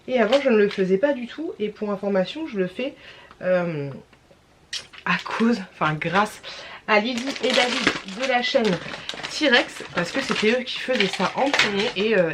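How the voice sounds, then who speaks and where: A woman's voice: mid-range voice, animated, moderate pace, noisy background. Tired stuffy-nosed young Frenchwoman vlogging. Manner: rambling, lots of fillers and restarts. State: has a cold. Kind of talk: casual vlog chat.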